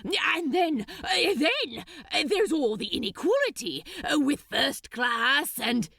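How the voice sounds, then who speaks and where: A woman's voice: high voice, very animated, slow pace, vocal fry, fairly bright tone, no echo, studio quality, pitched above the performer's own voice, heavily acted. A woman voicing a desperate, flustered male demon in an audiobook, stammering and protesting in a rush. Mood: desperate pleading. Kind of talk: dramatized fan fiction audiobook reading.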